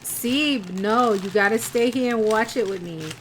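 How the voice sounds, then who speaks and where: A woman streaming a game, reacting casually in an expressive, informal way. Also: fairly high pitch, measured pace, slight background noise, poor audio.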